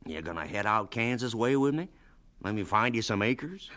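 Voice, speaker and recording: An actor playing a gruff but kindly farmer and boss in a radio drama, blunt, folksy and emphatic. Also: male voice, lowish voice, animated, normal speed, a bit bright. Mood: urging, warm.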